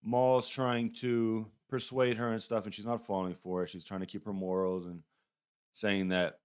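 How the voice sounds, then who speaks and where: Low, clear-voiced man chatting casually. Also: little pitch movement, normal speed. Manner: rambling, hedging, conversational. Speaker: YouTube reviewer. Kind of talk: casual, unscripted review commentary.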